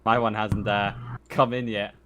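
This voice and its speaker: Male game master, fairly low pitch, halting and self-correcting, slight noise on a home voice-chat line. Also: level tone. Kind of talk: unscripted tabletop game narration.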